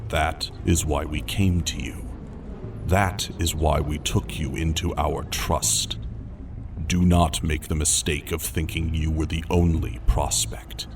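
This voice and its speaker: A man voicing a composed, menacing warrior commander in an audiobook, cold and deliberate. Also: a bass voice, fairly even intonation, distant mic, slight background noise, full character voice. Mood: stern, controlled. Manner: cold, deliberate, ominously intimate. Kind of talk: dramatic audiobook character voice.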